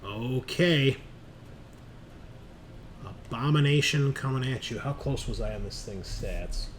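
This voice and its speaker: A man's voice: medium pitch, slow pace. Casual man game master, noisy recording. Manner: casual, profane. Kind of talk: running a tabletop roleplaying game, explaining rules and narrating.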